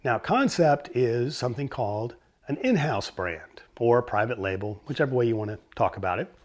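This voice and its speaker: A hoarse, middle-aged man at an ordinary pitch talks through vintage stereo equipment in a relaxed, rambling way. He pauses on fillers and corrects himself as he goes, like a host chatting to his regular viewers. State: hoarse from a cold. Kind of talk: casual explainer talk.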